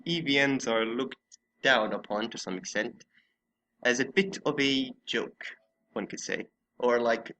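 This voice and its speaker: A man's voice: mid-range voice, sharp tone, a little hiss or hum, poor audio. A man hosting a casual podcast chat, often playfully exasperated with his guests. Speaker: podcast host.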